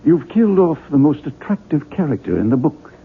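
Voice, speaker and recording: An actor playing a persuasive, avuncular book publisher in a 1970s radio drama, raspy and theatrical, coaxing with emphasis. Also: male voice, fairly high pitch, very animated, fairly quick, full character voice. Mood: emphatic, pleading. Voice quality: raspy, gravelly. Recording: muffled, narrow-band vintage radio recording.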